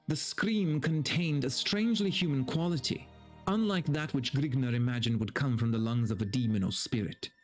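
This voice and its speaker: Theatrical man reading aloud over music. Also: mid-range voice. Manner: theatrical, profane, amused asides. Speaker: streamer reading a story aloud. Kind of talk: dramatic reading with casual, incredulous commentary. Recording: background music under the voice. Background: video game music.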